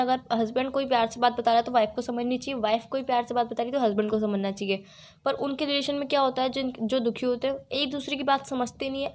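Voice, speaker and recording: Young woman, highish voice, casual couple's chat, argumentative, faintly noisy phone recording. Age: young adult. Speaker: girlfriend on a phone call.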